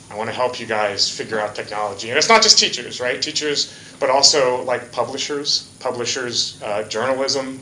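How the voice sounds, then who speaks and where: Man, conference speaker, bright voice, casual and joking, roomy hall with faint hiss. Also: medium pitch. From Hawaii. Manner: casual, joking, many fillers. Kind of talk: conference talk. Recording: echoey hall.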